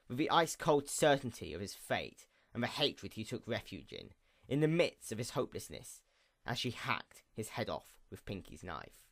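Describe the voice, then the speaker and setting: Man narrating with very animated, swooping pitch. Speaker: audiobook-style fan fiction narrator. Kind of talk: dramatic scripted story narration.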